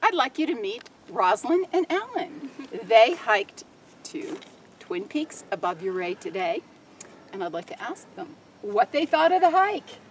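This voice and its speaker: Lively woman filming a mountain hike. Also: fairly high pitch, a little slow, recorded from a distance, slight background noise. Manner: friendly, playful host. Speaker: vlogger interviewing hikers. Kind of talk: casual hiking vlog. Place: mountain trail outdoors.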